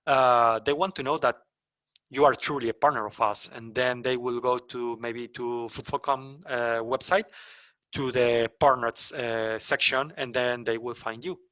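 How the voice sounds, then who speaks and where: Spanish-native guy doing a sales training webinar, bright forceful voice, lots of uhs, dry sound. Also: medium pitch, fairly even intonation, no echo. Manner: halting, many fillers and self-corrections. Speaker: partner program trainer.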